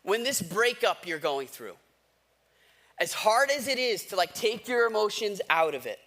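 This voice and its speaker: High-pitched, bright-voiced American male preacher. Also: big pitch swings, normal speed. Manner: emphatic, repeats phrases for effect. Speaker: pastor. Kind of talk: conversational sermon with rhetorical questions.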